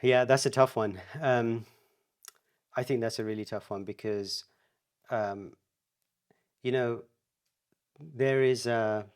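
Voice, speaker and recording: A man's voice: mid-range voice, fairly even intonation, slow, long pauses, clear, resonant tone. A man answering parenting questions in a live online session, thoughtful and hesitant, with frequent restarts and fillers.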